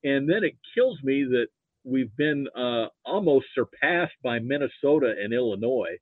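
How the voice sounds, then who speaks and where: Over a narrow, phone-like line, an older American man chats about sports. His delivery is halting and he often repeats himself, with a medium pitch and little room sound. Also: animated, dry recording. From Nebraska, United States. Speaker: podcast guest, football fan. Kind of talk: casual sports chat.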